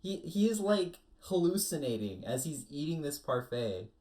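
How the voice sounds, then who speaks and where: A man co-hosting a podcast, describing things casually with animated, emphatic storytelling. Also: on the high side, moderate pace. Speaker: podcast co-host. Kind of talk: casual chat.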